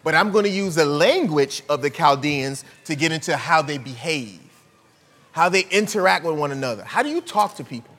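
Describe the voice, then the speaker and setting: A man's voice: fairly high pitch, lively intonation, fairly quick, fairly bright tone, big-room sound. A man preaching a sermon, conversational and full of rhetorical questions to the congregation.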